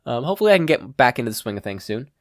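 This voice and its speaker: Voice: mid-range voice, very animated, rapid-fire, no echo, studio quality. A person reading fan fiction aloud as narrator, in a scripted storytelling style. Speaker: podfic reader, narrator.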